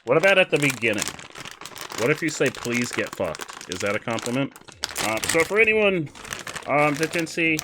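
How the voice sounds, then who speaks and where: A young man casually commenting on a kart race while chatting with his viewers, excitable and quick to laugh. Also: mid-range voice. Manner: excitable, giggly, chats with viewers. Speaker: streamer.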